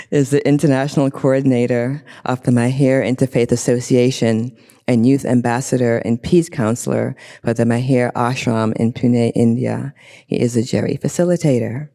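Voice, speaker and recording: Gracious woman moderator, mellow and relaxed, light humor. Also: a bass voice, normal speed. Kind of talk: panel introductions.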